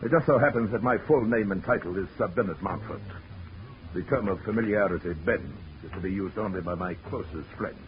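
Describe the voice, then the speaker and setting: An actor playing a suave, menacing gentleman villain in his fifties, pompous and courtly, in 1940s radio drama style. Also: lowish voice, fairly quick, close to an everyday voice. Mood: haughty. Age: 50s. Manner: pompous, courtly, mock-genial. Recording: muffled, noisy 1940s radio recording.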